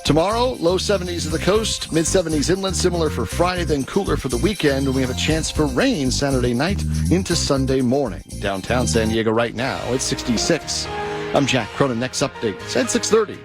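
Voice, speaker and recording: A man's voice: mid-range voice, expressive, a little fast. An American man reading a scripted radio news bulletin as the anchor. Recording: radio broadcast with music underneath. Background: music bed.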